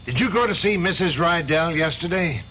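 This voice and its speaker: Indignant, blustering senior lawyer, higher-pitched and hard-edged, 1970s radio drama, muffled hissy sound. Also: male voice, expressive, normal speed, bright, forceful tone. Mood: stern, incredulous. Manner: blustering, scolding. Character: indignant, domineering male law firm boss. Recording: dull, noisy 1970s radio broadcast.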